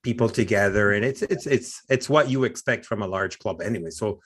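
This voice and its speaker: A man's voice: fairly low pitch, fairly quick, slightly rough. A man co-hosting a podcast, chatting casually, rambling and repeating words. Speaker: podcast co-host.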